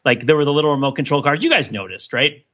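A man's voice: a little high, fast, a bit bright, highs cut off. A man co-hosting a podcast, casually discussing films with jokey mock-serious analysis. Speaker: podcast co-host.

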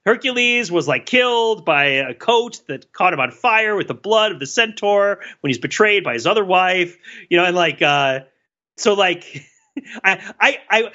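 A man with a fairly high, very bright voice co-hosts a podcast, musing aloud in a loose, rambling way. He restarts his sentences and laughs often as he works through his ideas. The recording sounds dull and lo-fi. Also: lively intonation, measured pace. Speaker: podcast co-host. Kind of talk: casual analytical discussion.

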